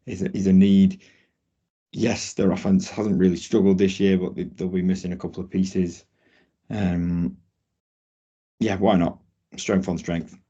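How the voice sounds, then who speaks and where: A man on a casual football draft panel who is losing his voice and keeps clearing his throat. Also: sits low, little pitch movement, unhurried, pauses often, mellow. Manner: clears throat often, upbeat. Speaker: draft analyst, co-host. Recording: dull lo-fi audio.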